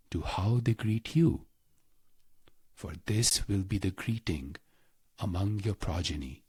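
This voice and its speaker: A man with a husky, breathy voice guides a scripted meditation in short, deliberate phrases, solemn and devotional. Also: on the low side, no echo. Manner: slow, deliberate, pausing between short phrases.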